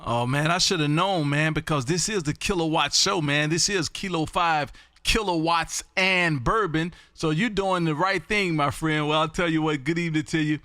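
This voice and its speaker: A man hosting a live stream from his home radio room, folksy, loud and repetitive as he calls out call signs on air. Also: a little high, moderate pace. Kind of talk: casual on-air radio chat.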